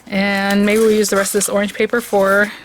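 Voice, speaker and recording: Woman craft host, hoarse, gushing and chatty, mid pitch, faint background noise. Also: brisk, poor audio. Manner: chatty and excitable, gushing over products. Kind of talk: casual product review and crafting demo.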